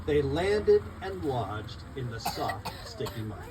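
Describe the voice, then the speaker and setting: A man reading his picture book aloud at a live event, expressive and rhythmic in the rhymes, with informal asides to listeners. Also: medium pitch, takes it slowly. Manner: expressive, rhythmic storytelling of rhyming verse. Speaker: children's book author reading his own book. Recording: distant mic, noisy live room.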